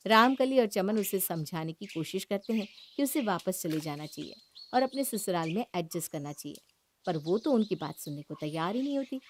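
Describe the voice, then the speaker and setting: A woman's voice: medium pitch, lively intonation, moderate pace, slight background noise. A woman reading scripted narration for a moral story.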